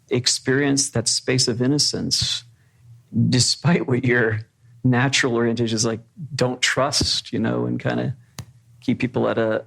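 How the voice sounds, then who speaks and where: Gravelly-voiced man hosting a panel. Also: medium pitch, fairly bright tone. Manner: thoughtful, sets up questions for the panel. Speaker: podcast host. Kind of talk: reflective podcast discussion.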